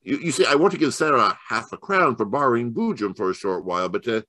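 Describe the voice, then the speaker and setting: Guy acting old radio mystery detective, lowish voice, really animated, stammery and formal, dry sound. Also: no room sound. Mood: hesitant, coaxing. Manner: halting, stammering, then deliberate and formal. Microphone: home microphone on a video call.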